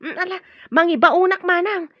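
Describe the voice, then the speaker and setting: A woman's voice: very high voice, normal speed, dry recording. A woman playing a concerned, helpful relative in an Ilocano radio drama, speaking earnestly and deferentially. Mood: urgent. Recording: dull lo-fi audio with music.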